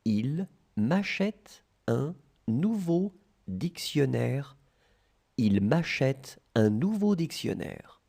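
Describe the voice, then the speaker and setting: Very slow dictation voice, dark and gravelly, lively pitch, repeats phrases chunk by chunk. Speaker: language tutor.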